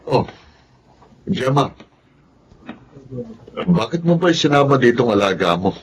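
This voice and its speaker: An actor playing an ailing, gentle husband in a Filipino radio drama, speaking in a subdued, measured manner. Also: male voice, mid-range voice, big pitch swings, husky. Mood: weary, concerned.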